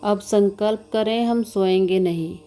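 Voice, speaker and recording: A woman leading a guided yoga nidra meditation as the instructor, giving calm, measured, soothing instructions with long pauses between them. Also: mid-range voice, faint music behind. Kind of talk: slow guided meditation narration.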